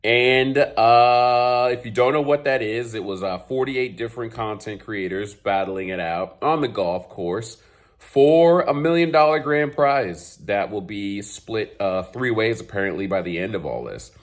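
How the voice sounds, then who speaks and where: Man, 30s, a little scratchy from a cold; casual golf vlogger who rambles with fillers, lowish voice. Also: measured pace. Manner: rambling, many fillers and restarts. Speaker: golf YouTuber. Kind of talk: casual vlog commentary. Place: home garage.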